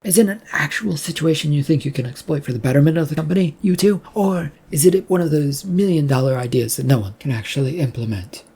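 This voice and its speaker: A narrator voicing an irritated, controlling male boss in a storybook, with a husky voice. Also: mid-range voice, fast talker, few pauses, dark tone. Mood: demanding.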